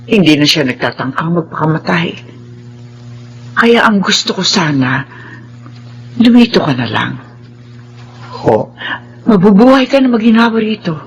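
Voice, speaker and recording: A man's voice: high-pitched, very animated, unhurried, gravelly, long pauses, slightly rough, exaggerated voice. A man playing a doting, persuasive older mother who owns a resort, in a Filipino radio drama. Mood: grateful, coaxing.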